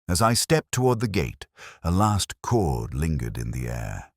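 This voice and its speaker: A man with an extremely low, husky voice narrates literary detective fiction. His delivery is measured and melancholic, yet the pitch moves with lively variation. He sounds reflective throughout, as if reading a scripted first-person account. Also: dry recording, clean studio sound.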